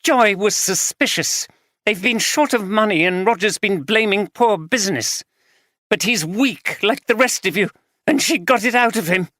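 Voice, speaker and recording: A reader voicing Christine, an angry, slightly slurring middle-aged wife, in an audiobook. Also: female voice, mid-range voice, moderate pace, fairly bright tone, no echo, studio quality, voice pushed up, lightly acted. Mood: bitter, furious. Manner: bitter, contemptuous, slurring slightly. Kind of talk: audiobook character reading.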